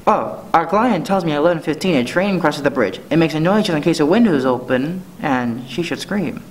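A man's voice: on the high side, expressive, fairly quick, natural voice, rough recording. A controlled criminal boss , acted by a man in an old-fashioned radio play. He gives clipped instructions coolly, over faint background noise. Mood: cool, conspiratorial. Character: cool male crime boss giving orders. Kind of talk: 1940s radio play acting.

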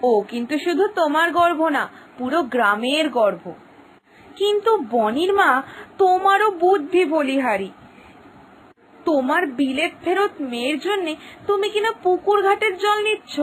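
A woman's voice: high voice, fairly bright tone, poor audio. A woman voicing a nosy village wife in a cartoon, gossipy, inquisitive and emphatic in her way of talking. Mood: impressed, pleased. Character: nosy, opinionated young village wife and neighbour. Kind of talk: dramatised cartoon dialogue.

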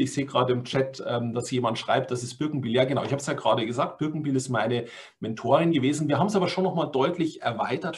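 A middle-aged German-speaking man giving an online talk over slides, explaining in a chatty way with fillers and tag questions. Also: mid-range voice. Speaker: language course presenter. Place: indoors, video call setup.

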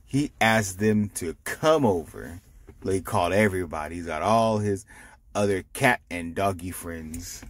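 A man reading a picture book aloud to children, explaining it simply with animated asides. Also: deep voice, unhurried. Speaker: storytime reader.

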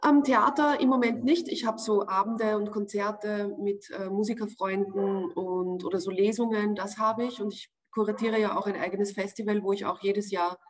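A woman's voice: mid-range voice, steady tone, moderate pace, hardly any pauses. Austrian woman chatting thoughtfully on a video call. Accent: Austrian German. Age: 40s. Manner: thoughtful, searching for words with many fillers. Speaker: actress, interview guest. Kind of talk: casual interview answers. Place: home room, indoors. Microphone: video call webcam.

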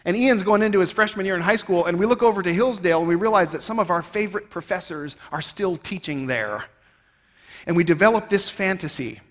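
A man in his thirties giving a keynote speech on stage, emphatic and rhetorical, swinging between loud and quiet phrases, on a headset mic. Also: high-pitched, brisk. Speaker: keynote speaker. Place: stage of a large conference hall. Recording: reverberant large hall.

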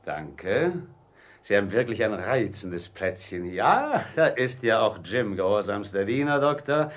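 An actor playing a sly pirate leader with ironically courteous, mocking politeness in a German radio play. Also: male voice, lowish voice, very animated, unhurried. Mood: mocking, oily.

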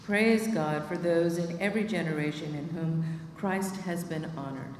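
A woman's voice: on the low side, slight background noise. Woman reading prayers in a roomy church. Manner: steady measured reading of names. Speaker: lay reader leading prayers. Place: church sanctuary at a lectern. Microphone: lectern microphone. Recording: echoey church from far off.

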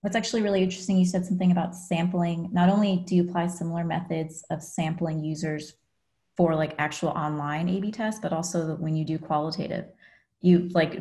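A woman's voice: low voice, dark tone. Curious woman moderator on a video call. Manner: curious, affirming, frequent backchannels. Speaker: panel moderator.

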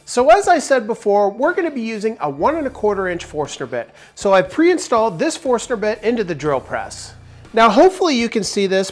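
A man in his forties hosting a woodworking tutorial, explaining each step in an upbeat, step-by-step way from a large indoor workshop. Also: sits high. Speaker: woodworking channel host.

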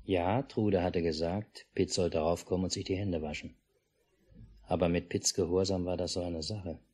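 A man's voice: sits low, fairly even intonation, moderate pace, a little mellow, husky. A man playing an unflappable family father in a 1950s radio drama, with a dry, understated delivery. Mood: dry narration. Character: unflappable, dryly matter-of-fact family father amid war. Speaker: narrator and father. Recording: noisy 1950s mono radio recording.

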